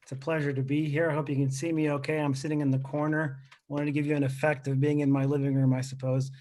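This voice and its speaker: Deadpan Lebanese-born comic in his thirties. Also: a little high, fast. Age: 30s. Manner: deadpan, self-deprecating, chatty asides. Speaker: stand-up comedian. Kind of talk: stand-up comedy set. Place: rented apartment, indoors. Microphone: video call.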